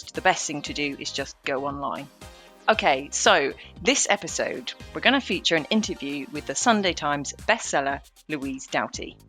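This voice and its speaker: Low-pitched British woman co-hosting a podcast. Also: fairly bright tone. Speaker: podcast co-host. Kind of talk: chatty scripted podcast presenting.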